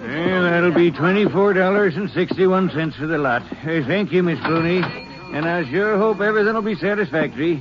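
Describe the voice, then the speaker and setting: A man voicing an older hardware store owner, easygoing and folksy, from a 1950s radio play. His voice sits fairly high. Heard through a dull, hissing old broadcast. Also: moderate pace, natural voice. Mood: warm, folksy. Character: older male small-town hardware store owner, kindly. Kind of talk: 1950s radio drama acting.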